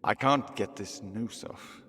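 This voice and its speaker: An actor playing a haunted, despairing man dreading his doom, hushed and gravelly, in a dramatic video game scene. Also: fairly low pitch, takes it slowly, exaggerated voice. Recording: long hall reverb.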